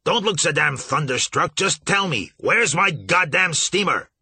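A man voicing a gruff, blustering middle-aged riverboat captain who curses and barks out demands. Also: mid-range voice, expressive, a little fast, dry recording, exaggerated voice. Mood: angry bellowing. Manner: loud, cursing, demanding.

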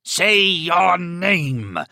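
A man's voice: on the low side, big pitch swings, a little slow, pushed and bright, no room sound, studio quality, exaggerated voice. A man voicing a menacing male killer who taunts and commands in coaxing, sadistic slang. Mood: barking, furious.

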